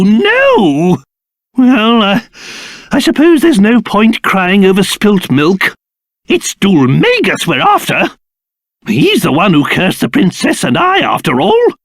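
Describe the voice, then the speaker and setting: A man's voice: high voice, fairly bright tone, dry recording, studio quality, heavily acted. A man voicing a fussy, haughty old cursed king, a creature and doting father in a video game, with a pompous, theatrical, exclamatory manner. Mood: dismayed, resolute. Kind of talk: video game character voice acting.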